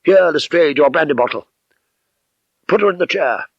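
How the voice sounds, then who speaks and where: Guy reading as masterful detective, crisp n formal, audiobook, dry dull old recording. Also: on the high side, animated, moderate pace, no echo, voice pushed up, exaggerated voice. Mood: indignant, commanding. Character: brisk, commanding male detective, keen and masterful.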